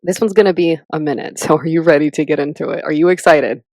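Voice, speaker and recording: A woman in her thirties co-hosting a podcast in casual chat, animated and chatty, with lots of "like" and "literally". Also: deep voice, fast, no room sound. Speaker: podcast co-host.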